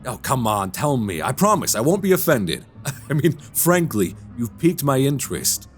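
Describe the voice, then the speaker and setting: A man's voice: medium pitch, sing-song, normal speed, faint music behind, lightly acted. A man playing an affable, self-deprecating bachelor writer in a radio drama, with a wry, theatrical way of talking. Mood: eager, intrigued.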